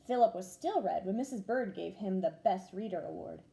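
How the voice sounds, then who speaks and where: Animated woman reading a children's story. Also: mid-range voice, a little fast. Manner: animated, clearly paced, acting out dialogue. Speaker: first-grade teacher reading a story. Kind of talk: expressive children's storybook read-aloud.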